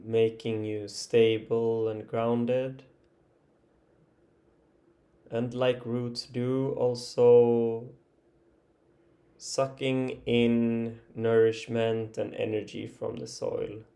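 A man leading an improvised guided body relaxation as a meditation teacher, speaking gently and haltingly with long pauses. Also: medium pitch, completely flat delivery, vocal fry.

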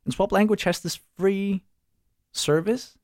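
A young man, answering interview questions casually and pausing to search for words. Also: high voice, steady tone, a little mellow. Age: young adult. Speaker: startup co-founder and student.

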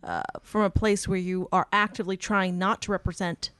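A woman's voice: medium pitch, steady tone, a little fast, fairly bright tone, clear, resonant tone, no echo, studio quality. A woman podcast co-host and writer who asks long, thoughtful questions in a casual interview. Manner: articulate, poses long considered questions.

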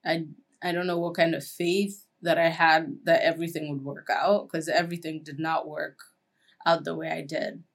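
A woman's voice: low-pitched, level tone, normal speed. A woman in her twenties from Kenya, a guest chatting casually in an interview with lots of "like" and "um". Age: 20s. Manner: chatty, frequent "like" and "um" fillers.

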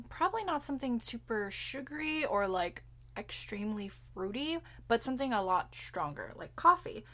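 A woman's voice: medium pitch, a bit bright. Giggly young woman co-hosting a podcast. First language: Cantonese. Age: young adult. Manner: chatty, giggly, swears casually. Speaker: podcast co-host. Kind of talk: casual chat.

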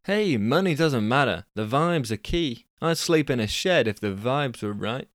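A man voicing a laid-back male barman, relaxed and unbothered. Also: medium pitch, a little slow, dry recording, clean studio sound, lightly acted.

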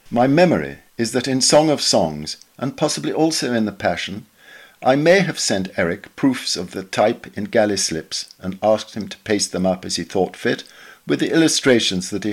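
A man reading a written memoir aloud as scripted narration, in a measured, formal manner with precise diction. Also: on the low side, breathy edge. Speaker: podcast reader.

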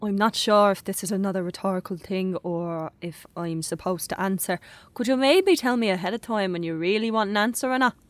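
A woman's voice: medium pitch, a little fast, dry recording, lightly acted. Cheeky young boy played by a woman. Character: cheeky young boy answering back to his father. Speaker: actor in audio drama. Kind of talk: naturalistic radio drama acting.

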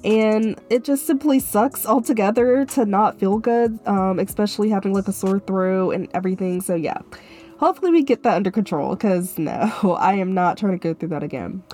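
A woman's voice: medium pitch, normal speed, dark tone. A young woman with a cold and a stuffy nose hosts her own solo podcast, talking earnestly with lots of "um" and "like". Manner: earnest, filler-heavy casual talk. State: stuffy-nosed, sick. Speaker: podcast host. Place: Florida.

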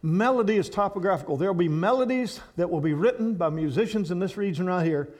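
A man preaching to a church congregation, animated and rambling, with frequent restarts. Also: sits high, fast. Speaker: guest speaker at a church. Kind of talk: sermon to a congregation.

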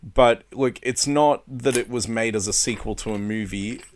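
A man's voice: medium pitch, normal speed. A man co-hosting a casual film chat, explaining films with enthusiasm. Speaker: podcast co-host, film reviewer.

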